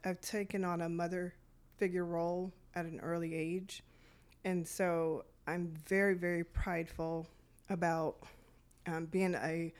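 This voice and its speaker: A woman's voice: on the low side, darkish tone. A middle-aged woman from Detroit, a youth programs director, telling her life story in a podcast interview, reflective and full of fillers.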